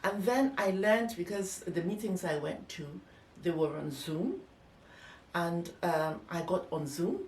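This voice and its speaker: Older woman reminiscing, lowish voice, echoey room. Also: unhurried, a little mellow. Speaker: interviewee, former nurse. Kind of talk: personal reminiscence in interview. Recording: echoey room, distant mic, faint noise.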